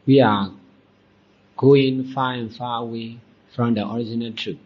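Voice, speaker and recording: Husky man guiding a meditation. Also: mid-range voice, steady tone. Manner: deliberate short phrases with long pauses. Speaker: Buddhist monk and meditation teacher. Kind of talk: guided meditation dhamma talk. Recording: dull, muffled lo-fi recording.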